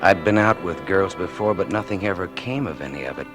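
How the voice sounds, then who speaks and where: Deep-voiced, bashful radio-drama suitor. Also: male voice, animated, brisk. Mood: wistful confession. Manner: hesitant, stammering, polite. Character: shy, introverted, earnest man smitten by love. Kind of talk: old-time radio drama acting with confessional narration. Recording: vintage radio recording with music behind.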